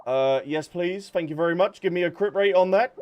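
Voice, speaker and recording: Higher-voiced man chatting on a game stream. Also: a little fast, darkish tone, highs cut off, a little hiss or hum. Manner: chatty, thinking aloud, answering viewers. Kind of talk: casual livestream chat while gaming. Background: background music.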